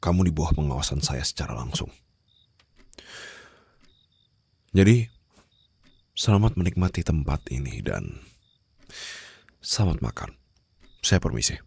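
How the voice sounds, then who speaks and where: A man acts out a menacing mafia boss for an ASMR roleplay, in a bottomless, gravelly voice. His delivery is formal and controlled, with swooping inflection that turns condescending or mockingly sweet toward the captive. It is captured close on the microphone. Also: very animated, normal speed, long pauses, no room sound, full character voice. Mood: cool, commanding. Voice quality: very low, gravelly, husky. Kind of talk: ASMR roleplay acting, addressed to the listener. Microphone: close studio-style microphone.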